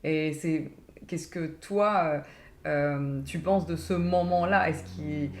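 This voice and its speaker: A woman's voice: deep voice, slow, darkish tone. Reflective woman host in a roomy echo. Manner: hesitant, many fillers and restarts, reflective. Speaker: podcast host. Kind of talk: casual interview talk.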